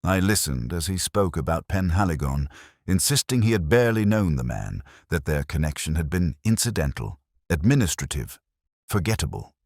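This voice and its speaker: Casting: a man as a brooding, bookish inspector in middle age narrating an audio mystery; rock-bottom bass, measured literary delivery, close dry sound. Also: sing-song, no echo, heavily acted. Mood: watchful, wry. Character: brooding, erudite middle-aged male police inspector. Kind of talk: scripted first-person detective narration, audio drama.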